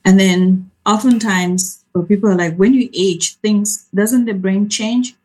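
A soft-spoken woman from Cameroon, a researcher giving an informal talk over a video call. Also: medium pitch, steady tone, unhurried. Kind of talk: informal presentation.

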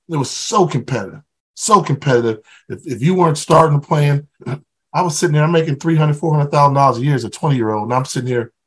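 A middle-aged man, a former football player, talking reflectively as a podcast guest, easygoing with lots of "you know". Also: medium pitch. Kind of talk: casual interview chat.

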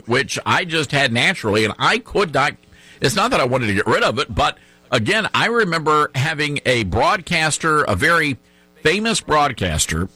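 A man with a mild Tennessee twang hosting a talk radio show, chatting in an animated, folksy way. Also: medium pitch, slightly rough, no echo, rough recording. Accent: Southern US, Tennessee. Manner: animated, folksy, laughs easily. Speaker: radio host.

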